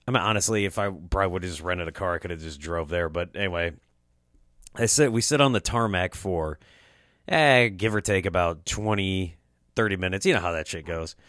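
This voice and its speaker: A man's voice: deep voice, fairly quick, fairly bright tone, dry recording, clean studio sound. A young man hosting a podcast, telling a rambling, profane story in a casual monologue, his throat sore from allergies. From Quad Cities area, US Midwest. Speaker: podcast host.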